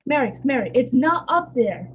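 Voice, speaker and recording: A woman's voice: sits high, big pitch swings, normal speed, breathy edge, exaggerated voice. A woman playing an alarmed, impatient father in a radio play, urgent and demanding in manner. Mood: frightened, exasperated. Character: Mr. Morris, alarmed and impatient father of a young girl.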